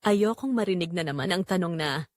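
A woman's voice: lowish voice, lively intonation, a little fast, dry recording, clean studio sound, close to an everyday voice. A woman playing a young graduate working as a barista, telling her own story reflectively in a scripted audio drama. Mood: tender, wistful. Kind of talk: first-person scripted audio drama narration.

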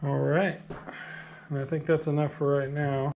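A man's voice: a little high, level tone, moderate pace, vocal fry, darkish tone, a little hiss or hum, poor audio. A man in his thirties filming a casual cooking vlog in his home kitchen, joking and chatty with lots of fillers. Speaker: vlogger cooking meal prep.

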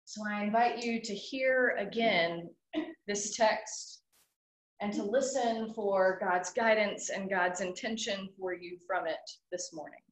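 A woman leading morning worship reads scripture at an unhurried, deliberate pace. Her voice sits at an ordinary middle pitch. The sound rings through a roomy, reverberant hall. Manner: slow, measured reading. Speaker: worship leader. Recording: echoey hall.

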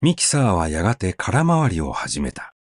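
A man's voice: low voice, wildly swooping pitch, moderate pace, dry recording, clean studio sound. A man narrating a short story as a scripted audiobook reading, telling it expressively. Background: faint background music.

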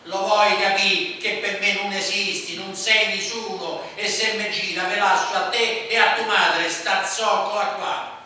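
A violent, unkempt Roman brute bellows threats and insults, as a male narrator puts him on. The voice rings bright and high in a very echoey room, a little away from the mic. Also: steady tone, a little slow, distant mic, slight background noise, full character voice. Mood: furious. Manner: furious yelling in Roman dialect. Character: brutal shouting middle-aged man, ex-convict.

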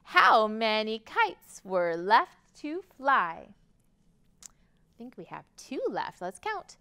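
American lady doing storytime for little kids, bright high voice, super sing-song. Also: big pitch swings, slow pace, clean tone. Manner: sing-song, exaggerated for young children. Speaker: children's librarian leading storytime. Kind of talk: interactive children's storytime. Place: library room, indoors. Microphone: lapel.

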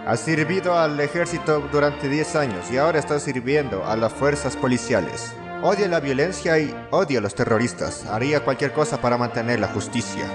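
A man narrating a comedic parody with sarcastic, deadpan asides. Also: mid-range voice, a little fast, hardly any pauses. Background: game music.

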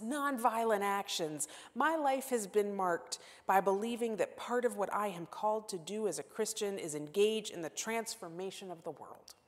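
A woman in her forties preaching from a pulpit in a church sanctuary, her voice carrying a long hall reverb. She is earnest and self-deprecating, swinging from emphatic declarations to soft, hushed asides. Her pitch sits in an ordinary middle range for a woman. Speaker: guest preacher. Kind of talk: sermon. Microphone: lapel mic.

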